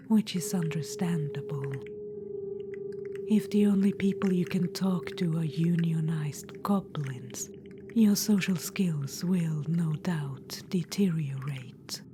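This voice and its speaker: A woman's voice: low-pitched, slow, noisy background. A woman voicing a scheming, ancient undead witch-queen spirit in a whispered ASMR audio roleplay, silky and condescending, calling the listener darling. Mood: silky, coaxing. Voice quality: soft unvoiced whisper.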